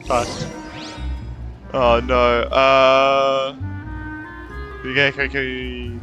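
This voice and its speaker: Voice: medium pitch, completely flat delivery, drawn-out words, bright, forceful tone, dull audio. A streamer giving casual game commentary, muttering reactions and repeating phrases. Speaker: gaming streamer. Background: game music.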